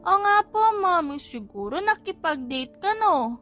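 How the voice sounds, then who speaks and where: A woman voicing a nosy, excitable little boy with a childlike, exclamatory manner in an audiobook story. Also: high-pitched, unhurried, faint music behind, pitched above the performer's own voice, heavily acted. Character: bossy, curious little boys, excitable quadruplet brothers. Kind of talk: audiobook character voice in a family romance story.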